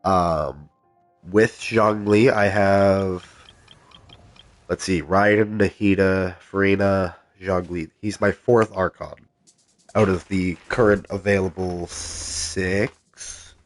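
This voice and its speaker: A man's voice: low-pitched, fairly even intonation, slow pace, soft background music. A man streaming a video game live, talking casually with a stuffed-up cold voice and lots of ums as he reads out the game's menus. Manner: soft-spoken, many ums, reads game text aloud.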